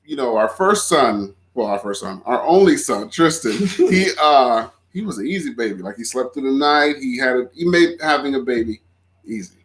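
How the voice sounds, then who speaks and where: A man in his thirties, a guest from Florida, talks in an easygoing, chatty way and laughs easily. His voice sits at an ordinary middle pitch. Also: animated, normal speed. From Florida, USA. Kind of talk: casual conversation.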